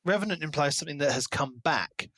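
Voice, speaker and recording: A man's voice: fairly high pitch, quick pace, bright, forceful tone, no echo, studio quality. A man co-hosting a podcast, discussing books in a casual, conversational way. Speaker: podcast co-host.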